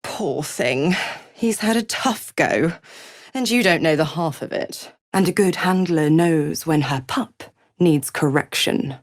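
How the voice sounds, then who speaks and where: A woman's voice: sits low, animated, slow pace, no echo, clean studio sound. An actress playing a scheming, cruel, seductive she-devil villain in a video game, with a taunting, condescending manner. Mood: taunting, scornful. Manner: taunting, drawling, condescending, purring endearments like "pet". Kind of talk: theatrical video game character acting.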